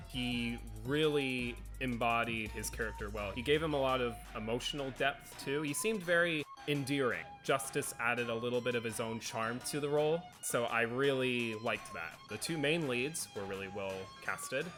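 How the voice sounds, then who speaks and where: Bright-voiced man hosting a movie review. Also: mid-range voice, moderate pace. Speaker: film reviewer, host. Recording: music bed under voice.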